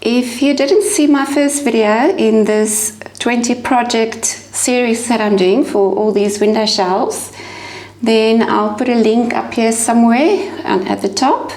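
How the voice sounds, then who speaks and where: A middle-aged woman from South Africa, whose first language is Afrikaans, speaking with a South African accent as she calmly explains each step of a fused glass project. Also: fairly high pitch, a little slow. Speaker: glass artist demonstrating a craft. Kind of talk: crafting tutorial voice-over and to-camera talk. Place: home room with a window. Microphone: lapel mic.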